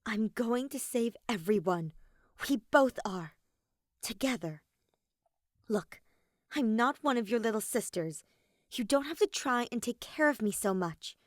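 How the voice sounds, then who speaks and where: Teenage girl conspirator, voiced by a woman, high voice, earnest, emphatic, anxious but resolute, for story audio. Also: expressive, unhurried, long pauses, no room sound, clean studio sound. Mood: determined.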